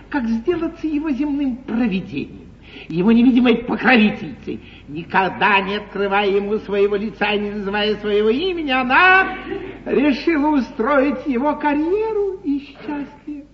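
A silky, scheming court gentleman in an old stage comedy. His high voice mocks with courtly politeness and theatrical flourish. It is picked up from far off, with faint noise and a dulled tone. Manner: mocking, courtly, grandly drawn-out delivery. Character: suave, teasing male aristocrat schemer at the English court. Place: large theatre stage before an audience. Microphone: distant stage microphone. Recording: muffled, noisy 1950s live theatre recording, distant.

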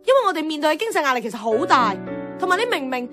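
An actress playing a frustrated, outspoken young radio worker in a Cantonese radio drama, with a Hong Kong accent and wry, expressive, colloquial delivery. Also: female voice, sits high, rapid-fire. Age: young adult. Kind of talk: radio drama acting with inner-monologue narration. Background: background music.

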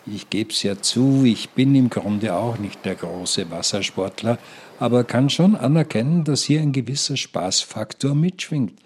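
An actor playing a stubborn, chatty retired husband who talks in a rambling, dryly persuasive, colloquial way. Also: male voice, mid-range voice, unhurried, a little mellow, husky. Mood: earnest, persuading. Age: older. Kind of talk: satirical radio drama monologue, conversational.

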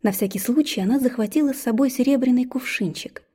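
A woman narrating a fairy tale in an expressive storytelling manner, with soft breathy asides. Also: a little high, quick pace. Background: faint music.

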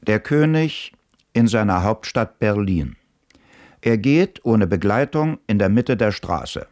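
A man narrating a radio drama in a measured, formal way. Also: fairly low pitch, lively intonation, a little mellow, no echo, clean studio sound. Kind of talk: scripted narration.

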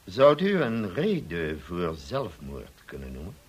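An actor playing a formal, authoritative police colonel in a radio play, questioning in a measured, stern way. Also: male voice, low voice, wildly swooping pitch, breathy edge, full character voice. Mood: formal, probing. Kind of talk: Dutch radio drama acting.

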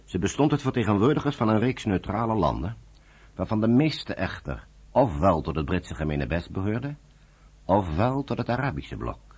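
A man's voice: on the low side, sing-song, normal speed, a little mellow, slightly rough. A man reading scripted narration for a Dutch radio play.

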